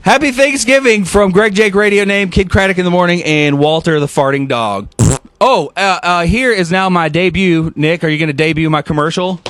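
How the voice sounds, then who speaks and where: High-pitched man reading a story aloud. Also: normal speed, fairly bright tone. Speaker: radio host. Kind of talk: animated storybook read-aloud with off-the-cuff asides.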